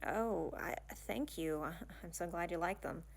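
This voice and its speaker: Woman playing an awkward, slow-talking young princess. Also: on the low side, vocal fry. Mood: bashful, apologetic. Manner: hesitant, stammering, rambling, with casual modern phrasing. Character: awkward, nerdy, bashful young human princess. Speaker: audio roleplay performer. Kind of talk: ASMR romantic fantasy audio roleplay, one-sided dialogue.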